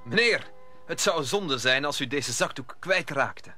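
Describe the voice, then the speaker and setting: Radio play: proud young duelist, high, piercingly bright, impulsive. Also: male voice, expressive, measured pace, soft background music, full character voice. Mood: bold. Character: hot-headed, proud young male swordsman newly arrived in Paris. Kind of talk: audio drama acting.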